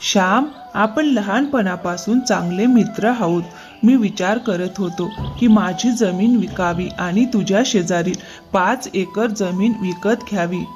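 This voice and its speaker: A woman voicing an earnest, polite young male farmer in an animated moral story. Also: medium pitch, unhurried, faint music behind, lightly acted.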